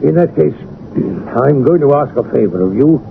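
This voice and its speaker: Creaky-voiced, possessive gentleman villain. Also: mid-range voice, lively intonation. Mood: pleading, controlled. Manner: halting, pleading, mannered. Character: intense, possessive male villain posing as contrite brother. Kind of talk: 1970s radio drama acting. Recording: narrow-band vintage radio, faint hiss.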